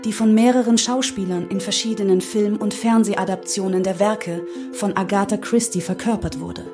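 A synthetic woman's voice playing an AI chat assistant, reading out answers in a measured, explanatory way. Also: medium pitch, fairly even intonation, close to an everyday voice. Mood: matter-of-fact. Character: artificial intelligence chat assistant with a female synthetic voice. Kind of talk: scripted read-out of chatbot answers. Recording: music playing behind the voice.

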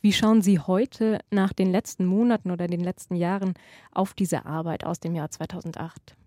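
Mellow-voiced woman interviewing on radio. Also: fairly low pitch, fairly even intonation, normal speed, dry recording, studio quality. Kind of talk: prepared interview questions. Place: radio studio.

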